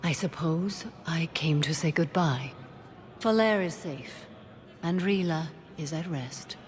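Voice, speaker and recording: Low-voiced, stoic warrior-monk. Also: female voice, slow pace. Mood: solemn, wistful. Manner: composed, grave, formal. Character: stoic, ancient alien warrior-monk woman, serene and stern. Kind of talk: cinematic video game acting. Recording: long hall reverb.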